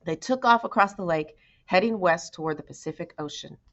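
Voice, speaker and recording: Lower-pitched woman reading a story aloud. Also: a little fast, lo-fi sound. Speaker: audiobook reader. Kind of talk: storybook read-aloud narration.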